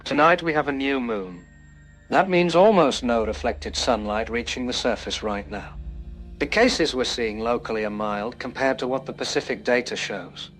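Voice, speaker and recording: A man's voice: medium pitch, normal speed, a bit bright, faint music behind, close to an everyday voice. A man playing a cautious, sober doctor interviewed as an expert in a scripted news broadcast. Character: cautious, sober male doctor advising the public.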